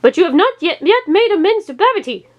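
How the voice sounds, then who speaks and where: A storybook witch cursing from inside a tree stump, acted out by a woman reader. She is pitched very high, scornful and commanding, with an old woman's menace. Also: very animated, fast, lo-fi sound. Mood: imperious, gloating.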